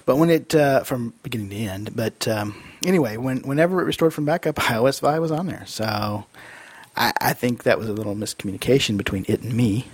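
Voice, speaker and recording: A man co-hosting a podcast in casual, easygoing and rambling chat, his voice husky from a cold. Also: mid-range voice, fairly quick, no echo. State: fighting off a cold. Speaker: podcast co-host.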